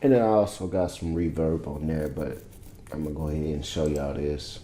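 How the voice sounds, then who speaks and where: A man's voice: a bass voice, steady tone, moderate pace, mellow, soft background music. A man explaining his vocal mix settings in a loose, rambling, casual tutorial from a home studio. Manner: laid-back, rambling, loose and informal. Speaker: music producer teaching vocal mixing. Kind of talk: casual screen-share tutorial. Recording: roomy echo.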